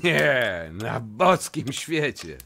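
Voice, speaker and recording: An actor playing a blustering, hot-tempered old nobleman in a theatrical verse comedy, muttering and then exclaiming loudly, with a gravelly voice. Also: medium pitch, sing-song, extremely slow, a little hiss or hum, heavily acted. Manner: exclamatory, swinging from muttering to shouting.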